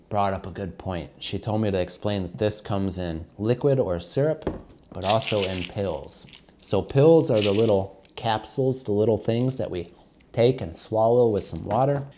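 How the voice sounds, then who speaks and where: Congested man, low voice. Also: darkish tone. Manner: chatty, keeps addressing viewers as guys. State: congested with a cold. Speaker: English teacher vlogger. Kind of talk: casual explanatory vlog to learners.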